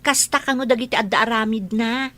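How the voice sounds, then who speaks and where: A woman's voice: a little high, steady tone, a little fast, pushed and bright. A woman acting a sympathetic, inquisitive younger sister in a radio drama. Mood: concerned, probing.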